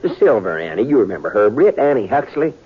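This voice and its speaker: Supporting: a gossipy, persuasive frontier mayor, radio play, thin tinny sound. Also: male voice, on the low side, big pitch swings, fast talker, breathy edge, full character voice. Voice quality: folksy, persuasive, talkative. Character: folksy small-town mayor and doctor, man. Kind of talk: 1950s radio drama acting. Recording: tinny old radio recording.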